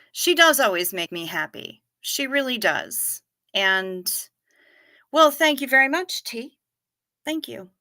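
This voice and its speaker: Crisp, bright-voiced woman in her fifties. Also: fairly high pitch, no echo, clean studio sound. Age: 50s. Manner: chatty, wry, swears casually. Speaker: livestream host. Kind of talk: casual livestream chat reading comments.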